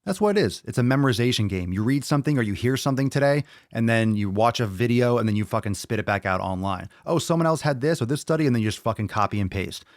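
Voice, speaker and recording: A man's voice: mid-range voice, expressive, fast talker, hardly any pauses, no echo. A young man hosting a solo podcast, ranting bluntly and emphatically with frequent swearing into a close mic. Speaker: podcast host.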